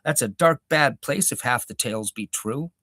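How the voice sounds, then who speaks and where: A man's voice: mid-range voice, moderate pace, bright, forceful tone, dry recording, clean studio sound. A man voicing an old male hobbit neighbor who speaks in a gloomy, superstitious, knowing way. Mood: ominous.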